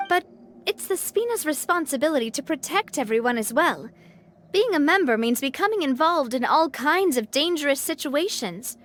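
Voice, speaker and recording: A woman's voice: high-pitched, a bit bright, a little hiss or hum. An actress voicing a kind, responsible young woman who leads a close-knit band in a video game. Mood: warm, reassuring. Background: faint music.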